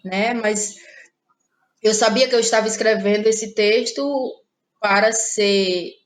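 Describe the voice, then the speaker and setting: A woman from Ceará, Brazil, a playwright and actress, talking through her creative process in a relaxed interview, thinking aloud with frequent restarts. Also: medium pitch. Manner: thoughtful, halting, many restarts and fillers. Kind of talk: casual interview conversation.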